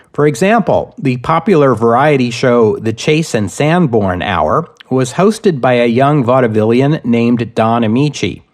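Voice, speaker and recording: A man with a mid-pitched voice narrates history as the host of a podcast. He reads from a script in deliberate, measured phrases. Small pauses set his points apart. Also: darkish tone.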